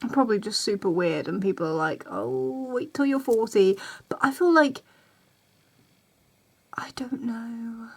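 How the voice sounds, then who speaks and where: A woman's voice: fairly high pitch, expressive, a little slow, husky. A woman, talking casually straight to camera, rambling and repeating herself. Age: 20s. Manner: chatty, repeats herself, voices other people's reactions. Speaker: vlogger. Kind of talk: casual ranting vlog.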